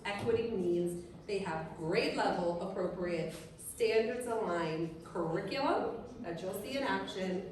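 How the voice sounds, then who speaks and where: A woman's voice: medium pitch, measured pace. A woman, a district STEM director giving a chatty conference presentation and asking the audience questions. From Trinidad and Tobago. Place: conference hall. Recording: echoey hall, mic far, crowd behind.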